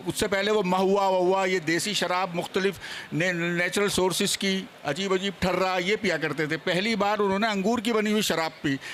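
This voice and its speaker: A man with a notably high voice speaks on a seminar panel. He lays out history emphatically, counting off his points and pressing them home. A little noise sits behind him at the live event. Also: fairly bright tone. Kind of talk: animated explanatory panel talk on theatre history.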